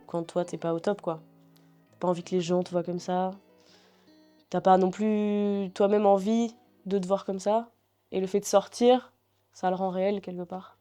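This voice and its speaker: A young woman guest talking casually in an interview, relaxed and hesitant with many fillers as she thinks aloud. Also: mid-range voice. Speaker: singer-songwriter guest.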